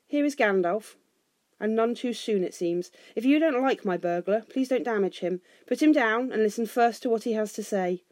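A woman's voice: on the high side, normal speed, a little mellow, dry recording, close to an everyday voice. Commanding old storybook wizard, read by a woman: dryly reproving, firm, in a dry recording.

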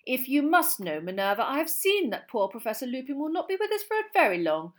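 A woman's voice: high voice, sing-song, brisk, voice pushed up. A woman voicing a theatrical, airy fortune-teller witch teacher in an audiobook reading. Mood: sharp. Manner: airy and grandiose. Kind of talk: audiobook character voice.

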